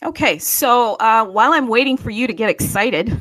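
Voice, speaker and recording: Animated woman hosting a casual online space. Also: a little high, moderate pace, dry recording, low-quality recording. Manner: animated, rhetorical, asks and answers own questions. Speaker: host of an online audio space. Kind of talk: casual, unscripted community talk. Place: live online audio space, dry sound.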